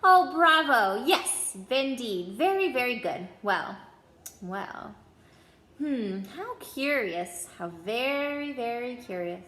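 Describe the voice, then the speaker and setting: An eccentric old wand seller from a storybook, played by a woman, musing to himself with eerie eagerness. The voice is bright and sits fairly high, with the room echoing around it. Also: animated, slow, full character voice. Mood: marvelling. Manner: muttering, musing, repeats words to himself.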